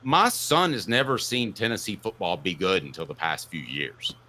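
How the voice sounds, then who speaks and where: Animated, deeper-voiced man hosting sports talk. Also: moderate pace. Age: 50s. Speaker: sports talk show host. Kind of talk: opinionated sports talk with casual storytelling.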